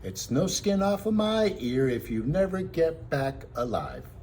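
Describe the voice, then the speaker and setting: Man acting a blustering big outlaw, pitched up. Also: big pitch swings, unhurried, a little mellow, slight background noise. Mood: suspicious, gruff. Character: big hairy garlic-munching outlaw, blustering and suspicious.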